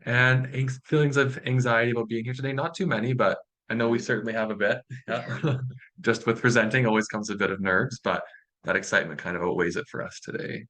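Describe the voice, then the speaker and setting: A man's voice: mid-range voice, fairly even intonation, fairly quick, a bit bright. A young man with a Canadian accent giving an informal online presentation as a student presenter, in a friendly, reassuring and encouraging way. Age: young adult.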